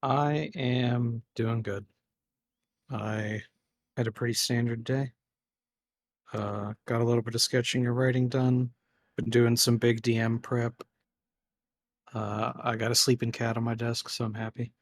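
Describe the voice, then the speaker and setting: A man running a tabletop game as dungeon master, calm and measured. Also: medium pitch, no room sound, clean studio sound.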